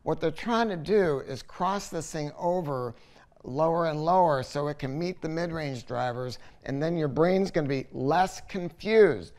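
Older male product reviewer, slightly higher register, conversational, opinionated, emphatic, unscripted feel. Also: a little slow, darkish tone. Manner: conversational, opinionated, emphatic with repeated words, casually sweary. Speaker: audio dealer presenting a product review. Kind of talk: informal video product review, talking to camera. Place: listening room at home.

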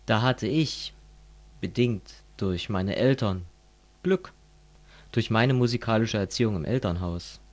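A middle-aged man from East Germany in a scripted political dialogue, explaining things in a measured way. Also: lowish voice. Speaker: dialogue partner in a political audio piece.

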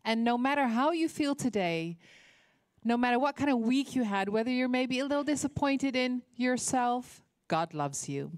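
A woman with a fairly high voice welcomes a congregation as the service host. Her delivery is effusive and welcoming. The handheld stage microphone gives her a close, dry sound. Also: lively intonation, normal speed, darkish tone, no room sound. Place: indoor church auditorium stage.

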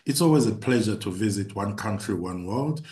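Male club speaker from South Africa, lowish voice, formal and emphatic, on a video call. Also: expressive, a little fast, a little mellow. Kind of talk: prepared spoken self-introduction.

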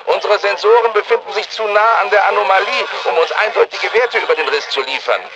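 An actor playing an anxious, learned research scientist in a video game, speaking in a formal, precise, explanatory manner. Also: male voice, high-pitched, fast talker, highs cut off, a loud crowd, natural voice. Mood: urgent, worried. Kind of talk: scripted video game dialogue.

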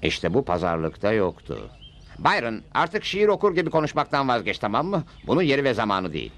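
A man's voice: mid-range voice, wildly swooping pitch, fairly quick. A man voicing a brave, confident cowboy hero in a dubbed western cartoon. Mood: firm. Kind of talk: Turkish-dubbed cartoon acting.

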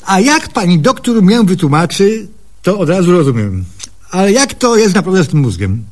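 A man's voice: high voice, big pitch swings, normal speed. A man playing a simple, gullible, eager country patient in a comic radio sketch, with a naive, folksy way of talking. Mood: puzzled, trusting.

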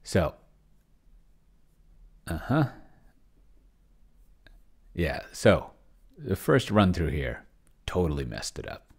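A middle-aged man hosts a casual screen-share in a very low voice. His intonation moves around freely, with plenty of vocal fry. He rambles and mutters, thinking out loud as he reads and types. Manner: rambling, muttering to himself while reading and typing. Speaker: host, solo roleplayer testing an AI dungeon master. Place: indoor home room with shelves.

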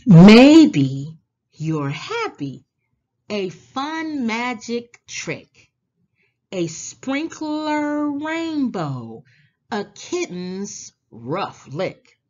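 A woman reading a children's storybook aloud in a deliberate, animated manner, drawing out words and pausing. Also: on the high side, highs cut off. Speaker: children's book read-aloud host. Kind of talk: expressive storybook read-aloud for young children.

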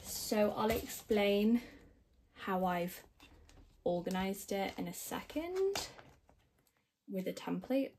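Croaky-voiced young woman rambling casually. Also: medium pitch, expressive, slow pace, long pauses, noisy background. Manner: chatty, self-deprecating, rambling, thinking aloud. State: croaky voice after concert screaming. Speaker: k-pop collector vlogger. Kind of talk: casual rambling narration over binder organizing. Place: indoors at a table, filming the binder from above.

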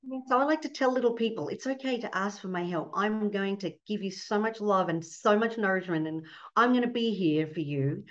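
A woman's voice: medium pitch, brisk. A middle-aged Australian woman, a fertility doctor, speaking earnestly as a guest on a video call from her home office, often breaking into laughter. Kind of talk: casual interview on a video call. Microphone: laptop webcam mic on a video call. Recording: dull lo-fi video call audio.

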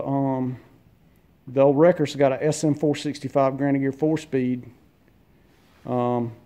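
Man, mellow tone, rambling casual vlog, phone mic, garage. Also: fairly high pitch. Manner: easygoing, rambling, many fillers. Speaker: vlogger and mechanic. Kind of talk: casual walkaround narration. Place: garage and wooded yard.

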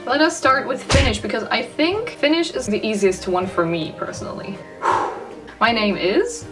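A woman's voice: fairly high pitch, expressive, far from the mic, loud music behind the voice. A young German woman in her twenties with a German accent, chatting casually in a bedroom vlog and sounding out foreign words. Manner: chatty, self-mocking, sounds out words slowly. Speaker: YouTuber. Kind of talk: casual language-learning vlog. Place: bedroom, indoors. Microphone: studio mic off camera.

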